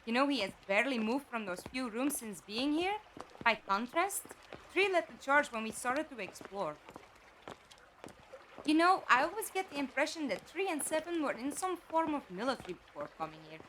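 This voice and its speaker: A woman acting in an audio drama as a practical, inquisitive captive who talks in a direct, conversational, gently wry way. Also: high voice, big pitch swings, normal speed, a little hiss or hum, poor audio. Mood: thoughtful, apologetic.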